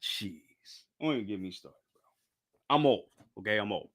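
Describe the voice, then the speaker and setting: A man's voice: fairly low pitch, expressive, measured pace, a bit bright. A man in his thirties hosting a casual podcast talk about anime, rambling with restarts and tossing in "bro". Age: 30s. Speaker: podcast host.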